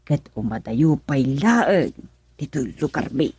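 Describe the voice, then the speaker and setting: A woman's voice: rumbling low, expressive, slow pace, mellow, breathy edge, no echo, soft background music, heavily acted. An actress playing a scheming, gloating woman in an Ilocano radio drama, with an Ilocano accent. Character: scheming gloating woman plotting over a romance. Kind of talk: Filipino radio drama acting.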